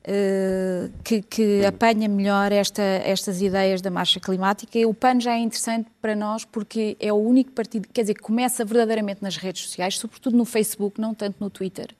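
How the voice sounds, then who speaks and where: Measured woman analyst. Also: mid-range voice, fairly even intonation, a little mellow. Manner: measured, thoughtful with hesitations. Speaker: political analyst. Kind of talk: televised panel debate.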